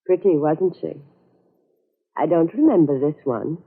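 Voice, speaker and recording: An actress playing a bitter, mocking, jealous wife in a 1940s radio drama. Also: female voice, sits low, lively intonation, measured pace, breathy edge, full character voice. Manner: taunting. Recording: muffled, tinny old radio recording.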